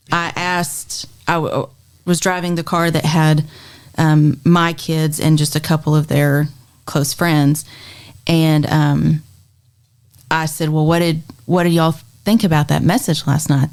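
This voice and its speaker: Low-voiced woman telling a story. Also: darkish tone, clear, resonant tone. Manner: reflective, halting with fillers. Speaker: podcast co-host and mother. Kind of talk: casual chat, storytelling.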